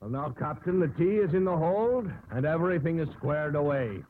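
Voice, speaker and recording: An actor plays a folksy, respectful ship's mate, a man with a mid-pitched, very animated voice. The old radio drama recording is tinny, with faint noise. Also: moderate pace, close to an everyday voice. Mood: deferential. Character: deferential, folksy male first officer. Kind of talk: 1950s radio drama acting.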